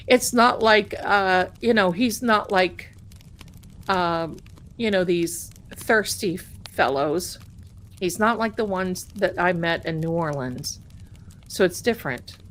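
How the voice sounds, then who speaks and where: A middle-aged woman casually chatting with her live-stream viewers as a tarot reader, rambling warmly and calling them her darlings. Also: lowish voice, takes it slowly, long pauses, slight background noise.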